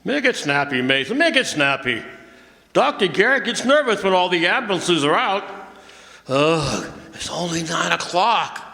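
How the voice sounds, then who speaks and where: An actor playing a grumpy, cynical, impatient ambulance driver in a live radio drama, blunt and slangy. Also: male voice, high-pitched, sing-song, a little slow, vocal fry, exaggerated voice. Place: live performance in a campus hall. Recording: noisy, echoey hall.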